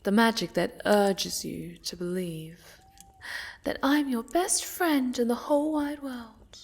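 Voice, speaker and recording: A haughty necromancer lady, played by a woman, speaks softly in a fantasy ASMR roleplay. Her voice is breathy and often sinks to a whisper. She takes her time over each phrase, silky and condescending, with sinister sweetness. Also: fairly high pitch, full character voice. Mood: sly, curious. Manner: condescending, silky, menacing, drawn-out. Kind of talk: soft-spoken roleplay ASMR, scripted character acting.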